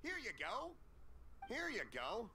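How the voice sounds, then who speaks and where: An actor playing a friendly, generous middle-aged stall keeper in a video game, with a hearty, folksy, chatty manner. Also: male voice, very high-pitched, huge pitch swings, slow pace, pushed and bright, slight background noise, heavily acted. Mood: generous, jovial. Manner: hearty, folksy, chuckles between phrases. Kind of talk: video game dialogue acting. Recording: game music behind the voice.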